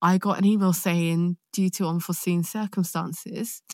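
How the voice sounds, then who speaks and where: A woman with a London accent in casual conversation, telling a personal story in a candid, expressive way. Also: fairly low pitch, normal speed, no echo. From born in Nigeria, raised in London. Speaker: guest, actor.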